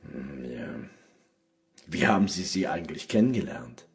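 An actor playing a skeptical, terse police detective in a radio crime drama, probing with short, hesitant questions. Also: male voice, very deep voice, sing-song, moderate pace, vocal fry, exaggerated voice.

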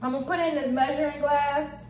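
A woman's voice: high-pitched, fairly even intonation, a little fast, a little mellow. A young American woman casually demonstrating cooking to viewers in a chatty, slangy way, recorded in a home kitchen. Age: young adult. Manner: informal, chatty, folksy slang. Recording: echoey kitchen with noticeable background noise.

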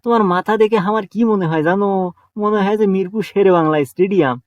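A broke young cartoon trickster, played by a man, who is always scheming. He speaks with a Bogura accent in a high, cheeky voice. His banter is full of teasing. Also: quick pace, lo-fi sound, background noise, lightly acted. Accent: Bogura dialect of Bangla. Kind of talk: cartoon comedy voice acting.

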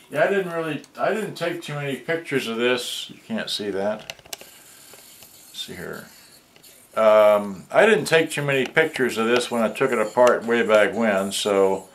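Slow, muttering man in an echoey room. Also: fairly low pitch, a bit bright. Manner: rambling, muttering to himself. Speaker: hobbyist repairer showing a vintage boombox. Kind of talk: casual unscripted demonstration. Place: cluttered indoor workbench. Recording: roomy, noisy home camera recording.

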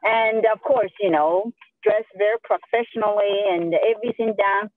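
A woman's voice: mid-range voice, moderate pace, no room sound. A woman with a Sichuan Chinese accent, a native Sichuanese speaker, giving emphatic motivational advice as a real estate agent on a group call. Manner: emphatic, self-affirming. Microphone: video call. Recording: narrow-band phone or video call audio.

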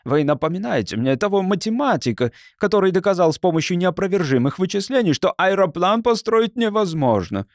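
A man voices a brisk male mentor in a science fiction audiobook. He explains with patronizing impatience, his pitch lifting and swinging in a dry sound. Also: sing-song, dry recording. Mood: exasperated. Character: brisk, patronizing male mentor who controls time gate. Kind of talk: audiobook character reading.

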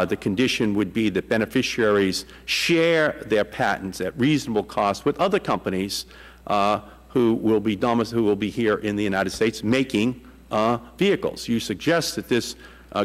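A committee chairman in his sixties with a Boston accent questions witnesses at a desk microphone. His voice sits low and he stumbles through fillers and restarts. The large hearing room adds a long reverb. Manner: halting, many fillers and restarts.

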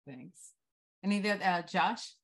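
A woman's voice: on the low side, measured pace. A woman hosting and moderating a discussion over a video call. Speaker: host. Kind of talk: reading audience comments aloud, moderating.

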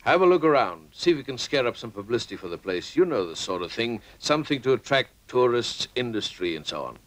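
An actor playing a self-important Member of Parliament with a South African accent, brisk and pompous, in a film comedy. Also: male voice, medium pitch, sing-song, lightly acted. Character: self-important, busy male small-town Member of Parliament.

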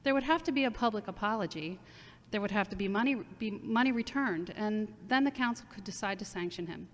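A woman's voice: medium pitch, lightly acted. A woman playing a solemn, composed city mayor speaking diplomatically in a radio interview, in an amateur audio drama. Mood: solemn, diplomatic. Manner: measured, diplomatic. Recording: reverberant hall-like sound.

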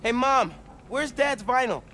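Upbeat, lively teenage American boy. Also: extremely high pitch, measured pace, a little hiss or hum, heavily acted. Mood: casual, curious. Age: teens. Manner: youthful, casual, thinking aloud. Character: upbeat, earnest teenage boy superhero, good-natured son. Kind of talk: video game character acting, casual self-talk.

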